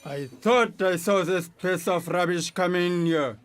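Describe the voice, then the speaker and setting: An actor playing a hostile, bigoted bully in a radio drama, with a South African accent. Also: male voice, sits high, measured pace, a little hiss or hum. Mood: menacing.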